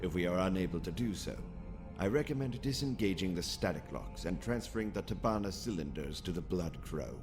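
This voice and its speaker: A man playing a calm, formal, authoritative military lieutenant in a sci-fi audio comic, speaking in measured, precise phrases. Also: sits low. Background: prominent music.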